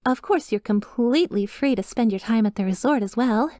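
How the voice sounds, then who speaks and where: A woman's voice: a little high, a little fast, warm tone, no room sound, lightly acted. Woman acting a polite, slyly sinister demon receptionist, close and dry. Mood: bright, reassuring. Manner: polished customer-service politeness, reassuring, faintly apologetic. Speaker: hotel receptionist character. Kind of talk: scripted ASMR roleplay.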